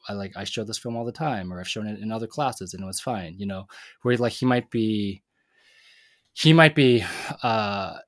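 Male co-host, low voice, dry close recording, tentative and reflective. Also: moderate pace, a bit bright, dry recording. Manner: thoughtful, hedging, many fillers and restarts. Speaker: podcast co-host. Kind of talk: casual chat.

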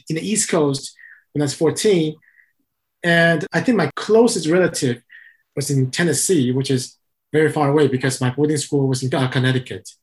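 A man from Taiwan, an interview guest and company founder, answering in a calm, methodical way. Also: a little high.

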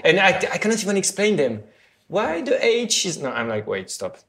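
A French man speaking halting English full of fillers, chatting about his cider bar. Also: high-pitched, big pitch swings, vocal fry, fairly bright tone. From France. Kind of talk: casual interview chat.

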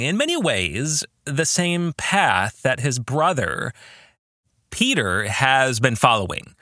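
American male podcast host, reflective and deliberate, animated pitch, dry studio-like sound. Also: dry recording. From American Midwest. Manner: deliberate phrasing, posing questions to listeners. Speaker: podcast host, author and pastor. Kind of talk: reflective book discussion podcast.

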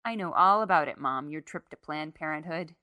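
Woman reader doing a cynical teen daughter in a story, blunt, needling mom, bright dry sound. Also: low-pitched, lively intonation, fairly quick, dry recording. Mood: defiant. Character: defiant, cynical teenage daughter needling her mother.

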